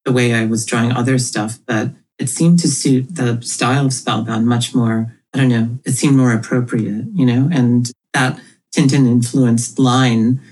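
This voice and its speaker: A woman's voice: very deep voice, steady tone, normal speed, a little mellow, slightly rough, rough recording. A woman comic creator, raised in Ethiopia, talking thoughtfully as a podcast guest and laughing often. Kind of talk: casual podcast interview.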